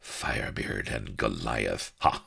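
A swaggering pirate captain, voiced by a male reader speaks in crisp, archaic, self-assured phrases. His voice is deep and gravelly, with an easy, unhurried confidence. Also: big pitch swings, bright, forceful tone, heavily acted. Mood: wry.

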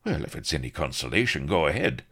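A man voicing a cynical, wisecracking rogue who talks in a flippant, sarcastic way, his voice pushed high above his own. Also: very animated, fast talker, vocal fry, bright, forceful tone, breathy edge, heavily acted.

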